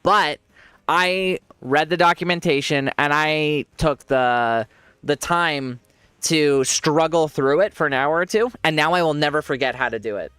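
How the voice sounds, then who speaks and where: A man's voice: a little high, unhurried, sharp tone, clean tone, dry recording. A man with a congested voice, giving rambling casual commentary while he plays a game and laughs often. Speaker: streamer.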